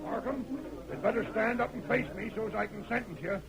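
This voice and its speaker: An actor playing a crooked, blustering frontier judge in an old-time radio western, gruff and commanding. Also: male voice, high-pitched, a little fast, crowd noise, low-quality recording. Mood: gruff, impatient. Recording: old radio recording, music behind.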